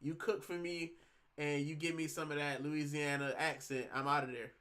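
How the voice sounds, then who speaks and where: Chatty bright-voiced livestreamer in his thirties. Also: fairly high pitch, level tone, normal speed. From Puerto Rico. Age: 30s. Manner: chatty, rambling, slangy, many fillers. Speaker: livestream host. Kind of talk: casual livestream chat, reading comments. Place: at home.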